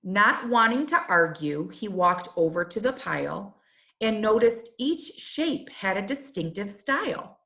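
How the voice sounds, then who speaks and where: A woman reading a rhyming children's storybook aloud, in a measured and expressive teacher's manner. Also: mid-range voice.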